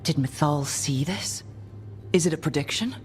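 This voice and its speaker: A male actor as a young elven hero in a fantasy game. He is earnest and plain-spoken, with a fairly high male voice over prominent music. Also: moderate pace, natural voice. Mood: curious. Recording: music under the voice.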